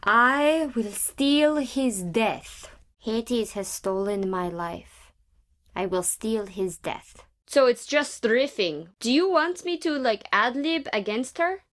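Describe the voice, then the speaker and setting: Slightly high-voiced woman rehearsing drama. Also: animated, slow pace, fairly bright tone. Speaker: actor. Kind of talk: rehearsing dramatic lines and bantering.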